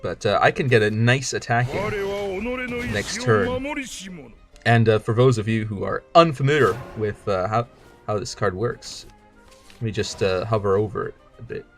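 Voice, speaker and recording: A man's voice: medium pitch, big pitch swings, unhurried, faint music behind. A man streaming a card game with casual, rambling commentary, joking around and stumbling over his words as he restarts sentences. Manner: rambling, jokey, stammers and restarts.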